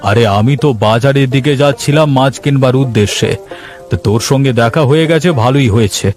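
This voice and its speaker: A man's voice: mid-range voice, quick pace, darkish tone, soft background music, natural voice. A man playing a bossy, self-important village headman in a Bengali cartoon.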